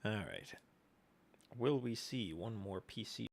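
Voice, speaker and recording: Low, creaky-voiced man livestreaming. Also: expressive, slow. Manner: offhand, crude. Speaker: game livestreamer. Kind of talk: casual livestream chat.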